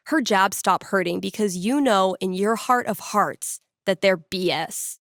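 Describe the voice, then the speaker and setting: A woman's voice: mid-range voice, no room sound, clean studio sound, lightly acted. A woman playing a candid, self-deprecating young punk nerd in a video game, speaking in a natural, sincere, wry conversational way. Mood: gentle, encouraging. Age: young adult.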